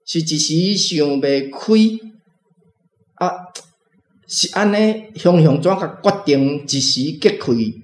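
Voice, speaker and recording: A man's voice: a little high, lively intonation, slow pace. A man with a Taiwanese accent giving spoken commentary as a reading club guest, explaining with many sentence particles. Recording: roomy echo with faint music.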